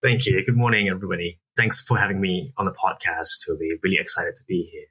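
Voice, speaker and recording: A man's voice: sits low, fairly even intonation, fast talker, sharp tone, breathy edge, rough recording. A man in his thirties from Singapore, a founder answering interview questions earnestly, explaining at length with frequent restarts. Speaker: app founder guest.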